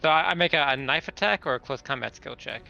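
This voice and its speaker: A man's voice: fairly high pitch, fast talker, creaky, bright, forceful tone, muffled recording, soft background music. A man chatting casually as a player in a tabletop roleplaying game.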